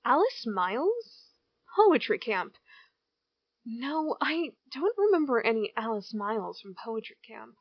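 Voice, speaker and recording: A woman playing a bored small-town teenage girl, voicing her thoughts in a slow, inward way. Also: a little high, sing-song, dry recording, lo-fi sound, heavily acted. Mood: wistful.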